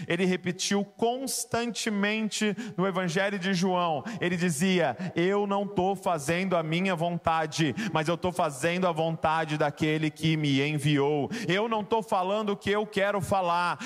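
A man's voice: high voice, moderate pace, hardly any pauses. A man preaching a sermon to a congregation, emphatic and rising to passionate exhortation. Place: church with keyboard behind.

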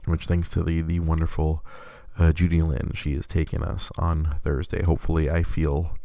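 Congested man with a deep, gravelly voice. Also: flat delivery, normal speed, mellow, no room sound. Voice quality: extra bass in the voice from illness. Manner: rambling, self-correcting, chatty. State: congested, sick voice. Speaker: podcast host. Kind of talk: casual live-streamed podcast monologue.

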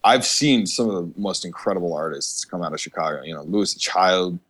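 A man's voice: low voice, moderate pace, a bit bright. A man from the United States, a musician guest in a casual interview, speaking earnestly and emphatically with some swearing.

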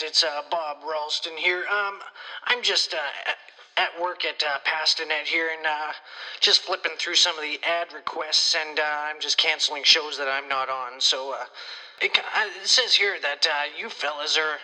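Man as a sharp-voiced, self-important comedy promoter. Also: on the high side, normal speed. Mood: smug, veiled threat. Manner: hesitant, rambling, pompous. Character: self-important small-town comedy promoter leaving petty threats. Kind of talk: casual voicemail message. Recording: phone call with faint music.

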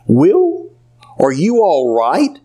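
A man voicing a cheerful, devout elderly woman, folksy and gently scolding. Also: fairly high pitch, huge pitch swings, extremely slow, voice pushed up, full character voice.

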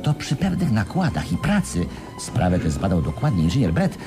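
A man's voice: deep voice, wildly swooping pitch, moderate pace, over music, exaggerated voice. A male actor in a radio play playing a shrewd, self-satisfied schemer who explains things with patient confidence. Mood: confident. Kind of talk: Polish radio play acting.

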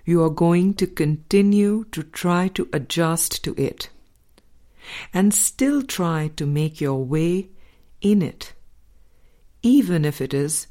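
Low, slow, mellow woman narrator. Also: expressive. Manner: measured, deliberate phrasing with pauses. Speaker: audiobook reader. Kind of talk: scripted spiritual audiobook narration.

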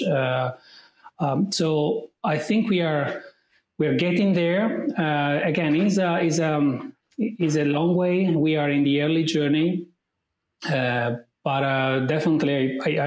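An Italian man with a fairly high voice answers interview questions as an AI specialist. He talks thoughtfully, pausing often with fillers and restarting sentences. His voice rings in an echoey room. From Italy. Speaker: AI specialist guest.

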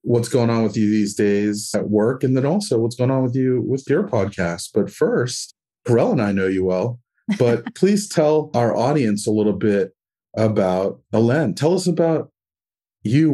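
A man's voice: medium pitch, lively intonation, normal speed, warm tone. A man hosting a podcast, reading sponsor copy and asking questions in a friendly, enthusiastic way. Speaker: podcast host.

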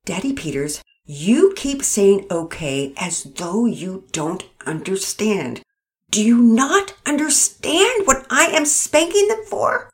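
An irritable storybook mother who talks in loud, huffy bursts, put on by a woman reading aloud. Her voice sits high, well above the reader's own. She sounds short-tempered and fed up. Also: animated, normal speed, lightly acted. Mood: irritated. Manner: loud, huffy, rising to tearful. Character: exasperated mother, quick to anger.

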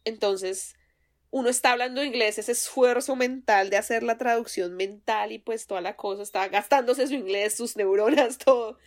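A woman's voice: fairly high pitch, normal speed. A woman from Colombia with a Colombian accent, co-hosting a podcast in casual chat, laughing often and restarting her sentences. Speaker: podcast co-host.

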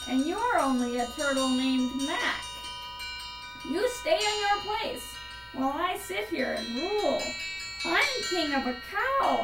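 A woman's voice: very high voice, voice pushed up, full character voice. A woman voicing a boastful, imperious male turtle king who bellows grandly in a rhymed storybook. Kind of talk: rhymed storybook read-aloud. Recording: roomy echo, distant, loud music behind. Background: loud music.

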